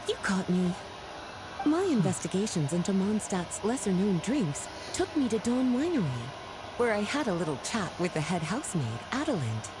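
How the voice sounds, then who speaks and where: An actress playing a playful, flirtatious, well-read woman in a video game, speaking in a coy, polished, unhurried way. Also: medium pitch, big pitch swings, close to an everyday voice, poor audio. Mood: playful, storytelling. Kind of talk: scripted video game character dialogue. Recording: game music behind the voice.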